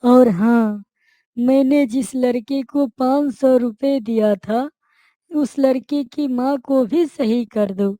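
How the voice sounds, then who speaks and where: A woman voicing a humble, kind-hearted young village son in a cartoon moral story, earnest and gentle. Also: high-pitched, slow pace, no echo, studio quality. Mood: earnest, pleading.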